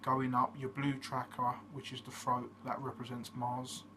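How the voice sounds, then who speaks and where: A man hosting his own channel, giving a casual explanatory monologue in a steady way, with frequent fillers. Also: mid-range voice, measured pace. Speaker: channel host. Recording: noticeable background noise.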